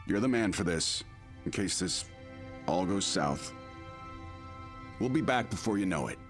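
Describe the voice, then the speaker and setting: An actor playing a steadfast comrade in a sci-fi audio drama, firm and reassuring. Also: male voice, low-pitched, expressive, measured pace, over music.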